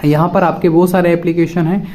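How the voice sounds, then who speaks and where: A man's voice: on the high side, level tone, a little fast, warm tone. A man presenting a casual step-by-step phone app tutorial, addressing viewers directly and often repeating his instructions.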